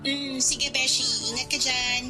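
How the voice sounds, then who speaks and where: An actress playing a chatty, supportive young best friend in a Filipino radio drama, speaking with a Filipino accent in an animated, advising way. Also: female voice, sits high, measured pace, exaggerated voice. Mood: chatty, friendly. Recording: loud music bed behind voice.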